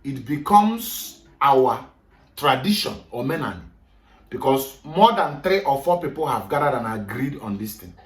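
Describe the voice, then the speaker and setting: A man's voice: medium pitch, big pitch swings, measured pace. A man with a Nigerian Igbo accent giving an emphatic, lecturing talk to a group and switching between English and Igbo. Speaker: speaker on culture. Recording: echoey room, voice from far off.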